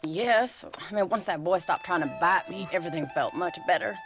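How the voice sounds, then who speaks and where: A woman's voice: on the low side, a little fast, close to an everyday voice. An actress playing a wry, long-suffering frontier wife and mother in a video game, with an American accent and a teasing manner. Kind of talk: video game cutscene acting. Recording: music under the voice.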